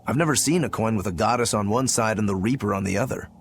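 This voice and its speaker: A man's voice: fairly low pitch, quick pace, a little hiss or hum, lightly acted. A man playing an easygoing, wry young male demon swordsman in a video game, casual and curious. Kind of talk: video game cutscene acting.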